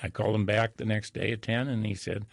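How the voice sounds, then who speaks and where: A man's voice: on the low side, steady tone, a little fast, creaky, dry recording, studio quality. An older man speaking as an interview guest, telling anecdotes in a folksy, self-deprecating way. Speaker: interview guest, real estate broker.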